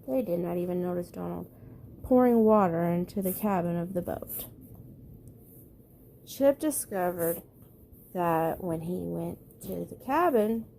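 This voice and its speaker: A woman's voice: lowish voice, animated, a little slow, gravelly, pauses often, dark tone, a little hiss or hum. A woman reading a children's storybook aloud at bedtime, as the narrator. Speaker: storybook reader.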